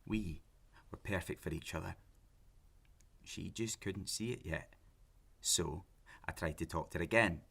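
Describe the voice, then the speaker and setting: An actor playing an obsessive, pleading, lonely lovesick man in an audio drama, halting and intense as he justifies himself. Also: deep voice, creaky, a bit bright, breathy edge, exaggerated voice. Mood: obsessive, agitated. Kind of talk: naturalistic audio drama acting.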